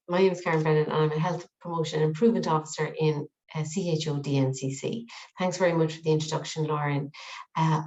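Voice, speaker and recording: A woman's voice: deep voice, a little mellow. A woman hosting a webinar, friendly, with a few ums and restarts. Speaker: webinar host. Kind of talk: webinar introduction. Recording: dull lo-fi call audio.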